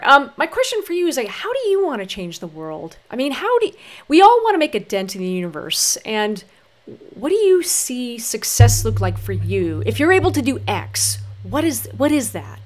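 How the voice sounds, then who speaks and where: A woman's voice: sits high, sing-song, moderate pace. A woman hosting a casual interview, effusive and encouraging toward her guest. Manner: effusive, warmly encouraging, uses y'all. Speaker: host.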